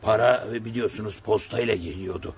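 An actor in a Turkish radio drama playing a deferential, hesitant prison subordinate with a gravelly, creaky voice. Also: male voice, on the low side, darkish tone. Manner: deferential, halting. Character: deferential, hesitant male prison subordinate reporting to his boss. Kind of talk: Turkish radio theatre acting. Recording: dull, slightly noisy old radio recording.

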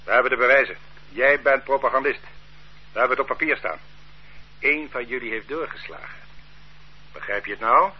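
A man's voice: mid-range voice, brisk, pauses often, slightly rough, close to an everyday voice. A man playing a cold, bullying interrogator. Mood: menacing. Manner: curt, bullying, accusing. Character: stern male interrogator, cold and accusing. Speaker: interrogating official. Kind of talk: radio drama acting. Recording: dull lo-fi radio play recording.

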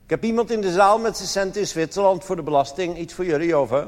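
A man's voice: high-pitched, fast talker, natural voice. A man playing an earnest informant calling the police over a two-way radio in a stage sketch, with formal, repetitive phrasing. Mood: earnest tattling. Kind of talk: stage comedy sketch, radio call procedure. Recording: reverberant theatre hall, background noise.

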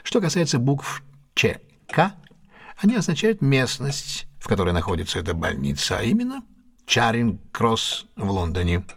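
A man's voice: medium pitch, wildly swooping pitch, moderate pace, fairly bright tone, husky, full character voice. An actor in an audio drama playing a keen, self-assured male detective who reasons step by step in a didactic, theatrical way. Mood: teasing, assured. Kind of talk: Russian audio drama acting.

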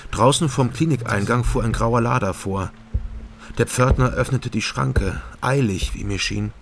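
A man's voice: mid-range voice, measured pace, vocal fry, slight background noise. An actor playing a laconic ex-policeman detective, narrating a crime radio play in terse, matter-of-fact sentences. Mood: tense, terse. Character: male ex-policeman turned private detective, laconic and observant. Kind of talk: scripted narration, German radio crime drama. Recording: studio radio play with music behind.

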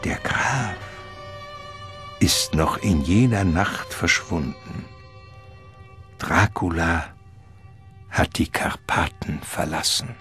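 An actor playing the ominous, dramatic narrator of a gothic radio drama. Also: male voice, low voice, takes it slowly, pauses often, pushed and bright, heavily acted. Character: ominous male storyteller of a gothic vampire tale. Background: music.

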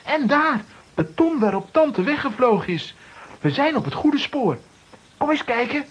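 Children's radio play: spirited kid, raspy high voice, somewhat muffled sound. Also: female voice, sing-song, a little slow, exaggerated voice. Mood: eager. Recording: slightly dull, lo-fi recording.

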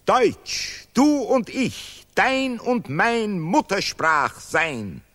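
A man playing a pompous patriotic artist in a radio play, declaiming in repetitive, deliberately broken German. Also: sits high, big pitch swings, a bit bright, exaggerated voice. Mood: solemn, exalted. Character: pompous Nobel laureate artist, zealous patriot of language and fatherland.

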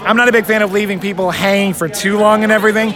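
A man gives a casual interview in a high voice. He speaks eagerly and leans on his words for emphasis. Music plays behind him. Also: steady tone, racing. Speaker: interview guest, audio drama writer. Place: fan convention.

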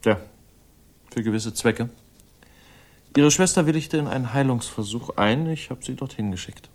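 A man's voice: mid-range voice, moderate pace, natural voice. An actor playing a suave, haughty ladies' man, urbane and condescending, easily irritated, in a 1960s German radio crime drama. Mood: defensive, smooth.

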